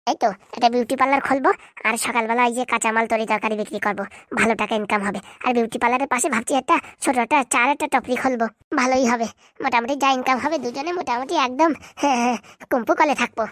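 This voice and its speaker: Woman voicing a quick, scheming village swindler. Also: on the high side, fairly bright tone, no echo, studio quality, lightly acted. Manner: chatty, brisk, cocky. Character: scheming elder-sister swindler collecting money from villagers. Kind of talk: comedy cartoon dialogue.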